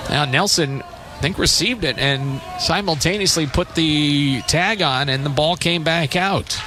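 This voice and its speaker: Male baseball play-by-play announcer, fairly high voice, clipped radio commentary phrasing, clear outdoor background noise behind him. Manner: clipped short phrases between measured descriptions. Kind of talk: live radio sports commentary.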